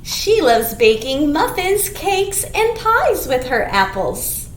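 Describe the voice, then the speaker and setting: A woman's voice: high voice, sing-song, takes it slowly. A woman leading a storytime for small children as a librarian, reading aloud and singing in simple, sing-song phrases. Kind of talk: children's storytime read-aloud with songs and rhymes. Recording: echoey room, clear background noise.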